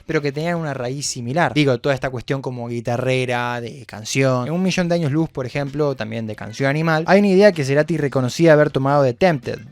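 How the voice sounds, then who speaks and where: A man's voice: mid-range voice, fast, few pauses, no room sound. A man with an Argentine accent who hosts a video essay, explaining his ideas in a reflective way with informal asides. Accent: Argentine Spanish. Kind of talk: scripted video essay narration.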